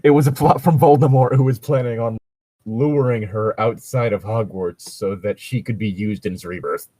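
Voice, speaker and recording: A man's voice: lowish voice, steady tone, moderate pace, dark tone, husky, dry recording, low-quality recording. A man co-hosting a casual, irreverent read-aloud of fan fiction, bantering crudely and swearing freely between lines. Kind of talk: casual banter and mock dramatic reading.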